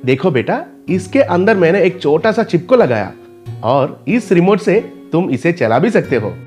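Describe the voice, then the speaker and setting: A man's voice: high voice, big pitch swings, normal speed, a little mellow. A man voicing a kindly, reassuring scientist in a children's cartoon story, over light music. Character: kindly helpful male scientist uncle, reassuring.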